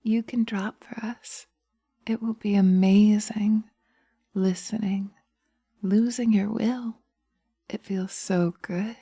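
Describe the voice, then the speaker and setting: A sly witch as acted by a woman in a hypnosis ASMR roleplay, drawing out words slowly in a mellow, breathy voice that often sinks to a whisper. She coaxes, taunts and commands seductively, very close and dry on the microphone. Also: medium pitch, fairly even intonation, dry recording. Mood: whispered, coaxing. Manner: slow, coaxing, taunting, commanding. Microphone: close binaural microphone.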